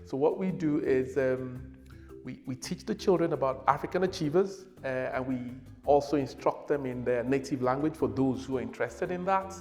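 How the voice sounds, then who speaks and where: A middle-aged man, a native Yoruba speaker, explaining his children's group in a relaxed, casual way on a lapel mic. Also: mid-range voice, normal speed, long reverb tail, soft background music. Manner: relaxed, gesturing, uses fillers. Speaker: organiser of a children's heritage group.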